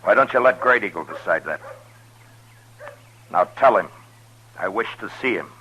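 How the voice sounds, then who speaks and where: A stern old-radio western lawman, low forceful voice, halting and grave. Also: expressive, slight background noise, poor audio. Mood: firm, curt. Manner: deliberate, restrained, halting pauses. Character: stern, weary frontier lawman, measured and grave. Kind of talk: 1950s radio western acting with first-person narration. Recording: 1950s radio broadcast with music.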